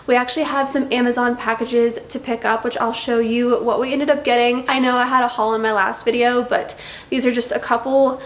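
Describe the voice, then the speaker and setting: A woman's voice: fairly high pitch, little pitch movement, reverberant. A young woman vlogging in a chatty, bubbly way, her voice still a little nasal from congestion. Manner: bubbly, chatty, drawn-out emphasis. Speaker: lifestyle vlogger. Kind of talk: chatty vlog talk to camera.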